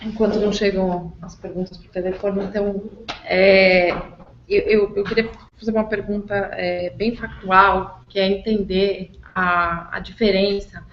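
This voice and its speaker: A woman with a Brazilian accent asking questions spontaneously on a conference call, halting and repeating words. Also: mid-range voice, little pitch movement, a little hiss or hum. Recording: echoey, dull conference call audio.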